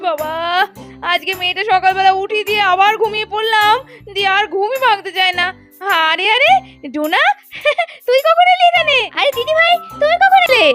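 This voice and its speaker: A woman's voice: extremely high pitch, expressive, moderate pace. A woman voicing a cheerful, busy village mother in a doll story, exclaiming and chatting as she fusses and scolds. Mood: chatty, surprised. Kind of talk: Bengali children's doll-play story voices. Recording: music bed under the voice.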